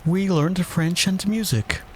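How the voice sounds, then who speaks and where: Man doing a little storybook girl, raised pitch, soft-edged, polite, inquisitive, easily indignant. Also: slight background noise. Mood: hesitant, polite. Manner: proper, questioning, quick to object. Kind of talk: storybook character voice.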